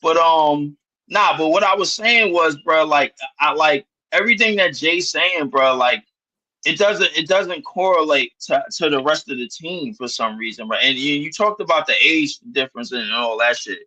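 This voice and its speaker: Bright-voiced man arguing hoops banter. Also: on the high side, moderate pace, low-quality recording. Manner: animated, argumentative, heavy slang, repeats "bro". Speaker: podcast co-host. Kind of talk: heated casual sports banter.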